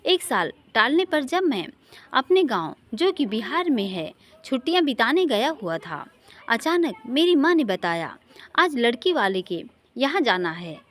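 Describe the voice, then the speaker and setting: A woman's voice: fairly high pitch, sing-song, a little slow. A woman voicing a wistful young man who confides his love story in an earnest, informal way. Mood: confiding.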